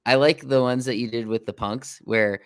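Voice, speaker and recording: A man's voice: mid-range voice, steady tone, normal speed, a bit bright, clear, resonant tone, no room sound. A man hosting a casual interview, putting his questions in a loose, rambling way. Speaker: podcast host, interviewer.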